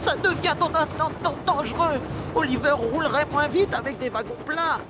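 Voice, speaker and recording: Actor doing a nervous polite little brake van, really high voice, hesitant, cartoon, loud music under it. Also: normal speed, close to an everyday voice. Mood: frightened. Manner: deferential, hesitant, stammering. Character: timid, polite, eager-to-please male brake van. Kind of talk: dubbed children's cartoon acting.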